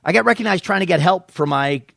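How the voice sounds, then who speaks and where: A man's voice: high-pitched, fast talker, gravelly, dry recording, clean studio sound. A middle-aged man hosting a podcast, telling stories in a casual chat and acting out the voices of the people in them. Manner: animated storyteller, acts out quotes. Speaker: podcast host.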